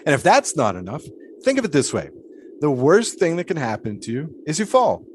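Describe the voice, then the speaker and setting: An actor playing an eccentric, cryptic pole sitter who talks in dreamy, teasing riddles. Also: male voice, a little high, huge pitch swings, a little fast, a little hiss or hum. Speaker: pole sitter Shipwreck Kelly. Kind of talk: audio drama acting.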